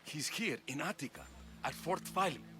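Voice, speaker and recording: An actor playing a wily, sentimental aging mercenary with a coaxing, theatrical way of talking, in a video game cutscene. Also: male voice, fairly high pitch, lively intonation, moderate pace, lightly acted. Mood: wistful. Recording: music behind the dialogue.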